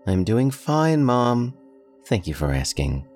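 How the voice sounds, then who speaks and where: A man's voice: mid-range voice, big pitch swings, slow, a little mellow, faint music behind, voice pushed up. A man voicing a young college-age daughter who answers patiently and a bit pointedly. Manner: patient, lightly sardonic.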